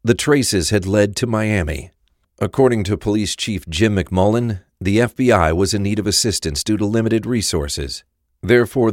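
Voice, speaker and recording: A man's voice: deep voice, no room sound, studio quality. A man narrating a crime thriller audio drama from a script.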